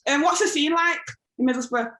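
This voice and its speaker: A woman's voice: sits high, fairly quick, pushed and bright. A woman from Manchester, hosting a casual radio interview, enthusiastic and laughing a lot. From Manchester, England. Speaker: radio host. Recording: echoey room.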